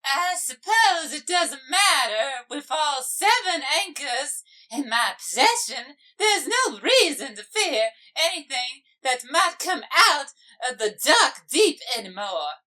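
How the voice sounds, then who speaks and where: Smug royal villain of the sea, cartoon dub, dismissive, drawn-out and dramatic swoops. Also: female voice, sits high, very animated, sharp tone, heavily acted. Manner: grandiose, dismissive. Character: imperious, self-satisfied royal ruler of an undersea realm. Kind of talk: animated comic dub villain acting.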